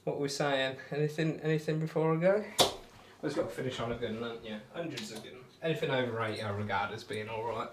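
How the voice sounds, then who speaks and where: A man's voice: mid-range voice, quick pace, few pauses, noisy background. A man hosting a casual darts livestream, chatting informally with viewers between throws. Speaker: livestream host playing darts.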